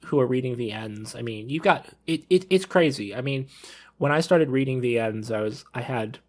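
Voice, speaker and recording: A man's voice: mid-range voice, moderate pace. Rambling thirty-year-old man, casual podcast talk. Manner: rambling, repeats and rephrases himself. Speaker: podcast panelist. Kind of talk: casual panel discussion.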